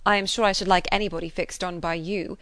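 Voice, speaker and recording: Lady reader doing a cheeky young gent from an old novel, lively and cynical, punchy delivery, no echo. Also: lowish voice, normal speed, smooth, natural voice. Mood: playful. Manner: animated, emphatic. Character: lively, cynical young gentleman, flippant and teasing. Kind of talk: classic novel character dialogue.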